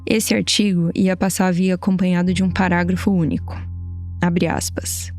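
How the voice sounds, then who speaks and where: A woman with a Brazilian Portuguese accent narrating a documentary podcast in a measured, explanatory way. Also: on the low side, flat delivery, darkish tone, faint music behind. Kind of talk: scripted narration.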